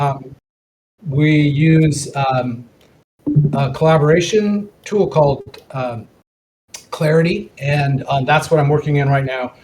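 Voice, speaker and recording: A man facilitating a casual online meeting, talking haltingly as he thinks aloud. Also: a little high, a little mellow, poor audio. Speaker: working group meeting facilitator. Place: online group call. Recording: online call with clear background noise.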